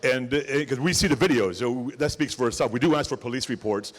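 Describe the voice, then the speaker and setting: A man's voice: medium pitch, brisk. A man speaking informally and colloquially as a journalist on a panel. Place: event hall panel. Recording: reverberant hall.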